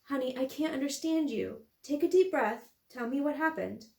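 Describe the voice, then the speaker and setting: A woman's voice: high voice, normal speed, gravelly, a little mellow. Woman as a patient mother, roomy sound. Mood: concerned. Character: patient, practical mother of a young girl.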